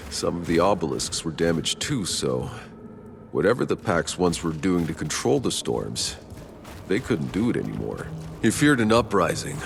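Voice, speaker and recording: A man voicing a blunt, gruff mercenary hero in video game dialogue, swearing freely. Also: low-pitched, slight background noise. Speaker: player character, mercenary.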